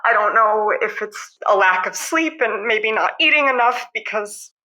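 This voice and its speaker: A woman's voice: medium pitch, expressive, sharp tone, poor audio. A woman playing a distraught, stammering, recently widowed caller on a radio call-in show, close to tears. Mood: shaken, distraught. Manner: halting, stammering, repeating words. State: tearful. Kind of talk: improvised radio call-in drama.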